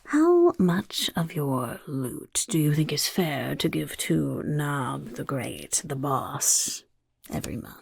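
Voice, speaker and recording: Casting: a self-important, scheming goblin interviewer for ASMR roleplay; gravelly fry, husky half-whisper, slow muttered delivery. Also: female voice, sits low, sing-song, no echo, full character voice. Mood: probing, sly. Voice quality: raspy, creaky, half-whispered. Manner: speaks of self in third person, muttering, chaotic. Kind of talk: soft-spoken ASMR roleplay.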